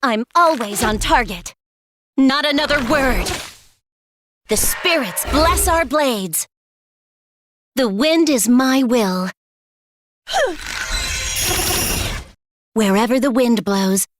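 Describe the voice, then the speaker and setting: A woman voicing a calm, resolute wind archer in a video game, measured and assured. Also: fairly high pitch. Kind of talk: video game hero voice lines.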